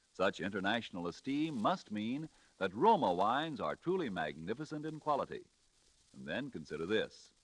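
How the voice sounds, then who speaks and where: A male announcer reading a 1940s radio sponsor pitch in an emphatic, polished salesman's style. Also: a bit bright, no room sound. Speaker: commercial announcer. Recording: vintage radio broadcast, faint music.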